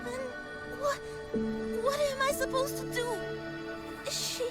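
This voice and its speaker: Very high-voiced, headstrong child. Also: female voice, flat delivery, slow pace. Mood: distraught. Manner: defiant, faltering, emotional. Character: headstrong, grieving young child sibling, Yan. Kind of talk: scripted dramatic game dialogue. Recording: loud music behind the voice. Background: loud game music.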